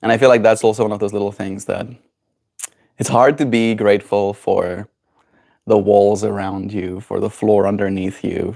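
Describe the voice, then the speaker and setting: A man's voice: on the low side, creaky, mellow. A man from South Africa with a South African accent, hosting a casual livestream chat and talking in a reflective, meandering way that keeps checking in with "right?". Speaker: livestream host.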